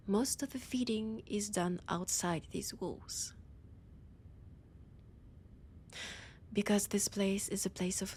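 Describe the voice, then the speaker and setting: A woman playing a possessive vampire scholar with a French accent, in a theatrical, breathy audio roleplay monologue with dramatic pauses. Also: medium pitch. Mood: haughty, hungry. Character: annoyed, possessive female vampire scholar, ancient library guide.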